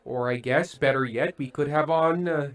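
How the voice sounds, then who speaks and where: A man playing a hesitant, uneasy old-fashioned TV variety host with halting, folksy patter, in the style of an old-time radio play. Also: a little high, a loud crowd, heavy background noise, close to an everyday voice. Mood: folksy, awkward showman.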